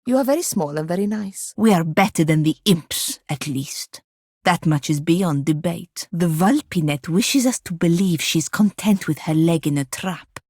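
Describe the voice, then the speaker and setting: An actress playing a haughty, sharp-tongued female wizard in a video game, with dry, impatient, formal delivery. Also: lowish voice, moderate pace, dry recording. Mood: dry, aloof. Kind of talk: video game character dialogue and battle barks.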